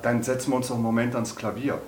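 A man interviewing in a conversational way, putting tentative questions with pauses. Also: medium pitch, little pitch movement, a little mellow, a little hiss or hum.

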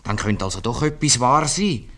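A man's voice: mid-range voice, lively intonation, normal speed, bright, forceful tone. A man playing a middle-aged, dry but kindly town bailiff and old suitor in a 1950s Swiss German radio comedy. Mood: thoughtful. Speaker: actor in a radio play.

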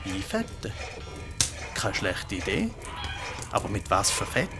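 A man's voice: fairly low pitch, wildly swooping pitch, a little slow, over loud music. A man with a Swiss accent playing a good-natured, thoughtful monk craftsman who thinks aloud in a children's radio play. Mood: musing.

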